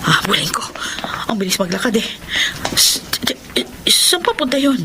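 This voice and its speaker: A woman's voice: a little high, sing-song, normal speed, background noise. An actress playing a suspicious, hurt wife in a melodramatic Tagalog radio drama, speaking her thoughts aloud. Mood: nervous, muttering. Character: distraught betrayed wife, suspicious then furious.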